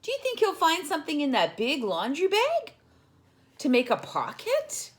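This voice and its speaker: A woman reading a picture book as a storytime host, animated and addressing young children directly with questions. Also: sits high, moderate pace, slight background noise.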